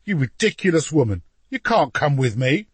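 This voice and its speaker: A man's voice: a little high, normal speed, no room sound, pitched above the performer's own voice, close to an everyday voice. A man voicing a greedy, imperious Renaissance duke who snaps out orders in an audiobook story. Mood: snarling anger.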